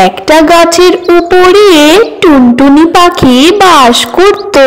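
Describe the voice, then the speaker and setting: Slow, high-pitched woman storyteller. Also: a bit bright, poor audio. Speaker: narrator. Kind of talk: scripted children's story narration.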